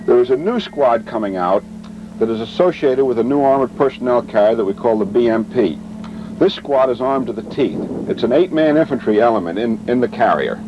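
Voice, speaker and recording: A man giving a military training lecture as an instructor, matter-of-fact and measured, speaking in short deliberate phrases. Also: medium pitch, animated, dull audio. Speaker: weapons instructor and presenter. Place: outdoors at a weapons demonstration. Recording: vintage training film, noisy outdoor sound.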